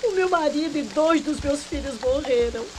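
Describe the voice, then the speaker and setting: Desperate high-voiced mother, noisy recording. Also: animated, moderate pace. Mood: pleading. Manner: pleading, distressed. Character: desperate mother begging help after a car crash. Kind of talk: 1990s radio mystery play acting. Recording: noisy old AM radio drama, sizzling background.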